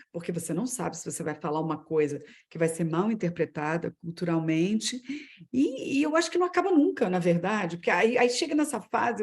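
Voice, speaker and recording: Animated Brazilian woman mixing in English words. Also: medium pitch. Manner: animated, mixes English words into her speech. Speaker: guest speaker. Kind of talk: online panel talk.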